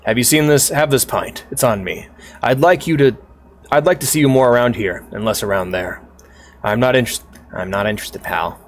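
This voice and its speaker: A man voicing a gruff male tavern regular who talks in a low, muttering way. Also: brisk, slight background noise, natural voice.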